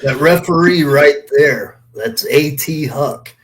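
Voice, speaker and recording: A man's voice: a little high, slow. A middle-aged man, a veteran wrestler, reminiscing casually and repeating himself for emphasis. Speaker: veteran wrestler, guest commentator.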